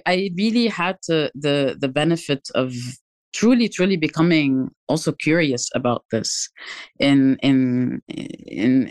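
A woman in her forties from Tunisia, a musician, answering interview questions thoughtfully with many pauses and restarts. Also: extremely low pitch, darkish tone, no room sound. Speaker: musician guest.

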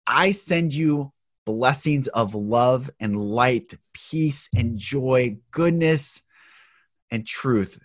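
A man hosting a spiritual podcast, speaking earnestly and slowly as he introduces and questions his guest. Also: mid-range voice, no echo. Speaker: podcast host.

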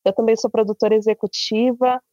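Convidada brasileira animada. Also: female voice, a little high, little pitch movement, brisk, warm tone, no room sound, muffled recording, soft background music. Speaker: guest, podcast producer. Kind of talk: podcast conversation.

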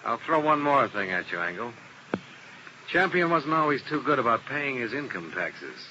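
A man's voice: medium pitch, big pitch swings, moderate pace, muffled recording, lightly acted. An actor playing a hard-boiled male insurance investigator who narrates and questions people in a 1950s radio drama, in a terse, dry, probing manner. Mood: pressing. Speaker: narrator and lead detective. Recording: hissy old radio broadcast.

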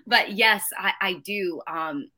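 A woman's voice: medium pitch, slow pace, bright, forceful tone. A woman who talks passionately and emphatically in a casual conversation about her work as an educator.

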